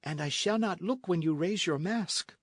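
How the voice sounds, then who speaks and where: A man's voice: high voice, normal speed, no room sound, voice pushed up. A man reading aloud and voicing a young noble lady who speaks in an ardent, breathless way. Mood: tender. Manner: breathless, impassioned.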